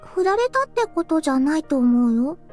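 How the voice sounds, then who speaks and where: A woman playing a talkative, easily flustered teenage girl in a Japanese visual novel, with animated, expressive delivery. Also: high voice, very fast, soft background music. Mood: calming, reassuring.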